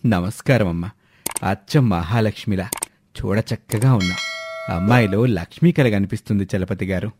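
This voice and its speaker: Man playing a measured, courteous village elder. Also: lowish voice, noisy background, natural voice. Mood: polite. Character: courteous, wise village elder, male, calm matchmaker. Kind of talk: dramatised storybook dialogue.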